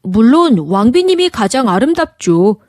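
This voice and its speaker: Enchanted talking mirror acted by a woman, truthful, polite, formal, lilting pitch, storybook style. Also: sing-song, normal speed, a little mellow, no room sound, clean studio sound. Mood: matter-of-fact.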